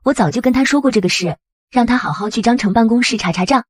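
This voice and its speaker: A woman's voice: fairly high pitch, fast, a little mellow, breathy edge, dry recording, studio quality. A woman playing a shrewd, bitter and resolute businesswoman in an audio comic drama. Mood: cool.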